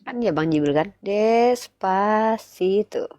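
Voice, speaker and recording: A woman's voice: mid-range voice, lively intonation, slow pace, warm tone, dry recording. A woman, speaking didactically in a live online religious debate and putting rhetorical questions to listeners. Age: 30s.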